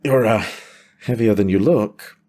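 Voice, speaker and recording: A man playing a battle-worn dragon rider father, terse and quietly tender, in a fantasy audio drama. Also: on the low side, unhurried, voice pushed up. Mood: gently teasing. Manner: terse, understated. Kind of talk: audio drama character dialogue.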